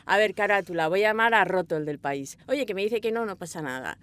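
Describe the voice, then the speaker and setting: A woman with an accent from Spain, an audio fiction director telling rambling, animated stories in a casual interview. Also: medium pitch, fast, clean tone. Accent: Spanish (Spain). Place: small recording booth.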